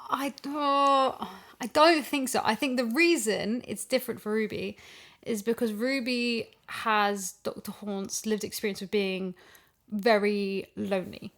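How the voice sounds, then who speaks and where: A woman with a voice on the high side talks in a relaxed discussion. She works through her ideas aloud, thoughtful and emphatic about her points. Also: measured pace. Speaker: audio drama voice actress. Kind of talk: casual discussion chat.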